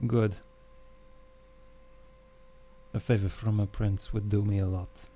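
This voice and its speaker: A man roleplaying a wry, calm male vampire survivor in a tabletop game, with a measured, understated delivery. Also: lowish voice, slight background noise, lightly acted. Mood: cooperative.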